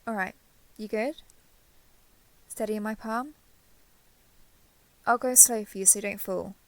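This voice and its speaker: A young woman playing a caring giant dragon girl in an intimate audio roleplay, speaking to the listener in a gentle, reassuring, slightly hesitant way. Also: medium pitch, steady tone, measured pace, a little hiss or hum, close to an everyday voice, rough recording. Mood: tender, caring.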